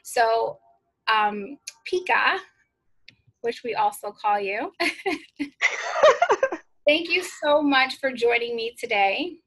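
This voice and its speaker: A woman's voice: a little high, slow pace, long pauses, fairly bright tone. A woman hosting a podcast in a casual, conversational interview style. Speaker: podcast host.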